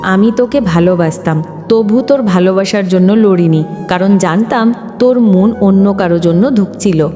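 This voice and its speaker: A woman's voice: mid-range voice, moderate pace, mellow, pitched below the performer's own voice, lightly acted. A woman reading a wistful, selfless young person in unrequited love for an audio storybook, tender and resigned. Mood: resigned confession. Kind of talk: storybook dialogue. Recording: long reverb, music underneath.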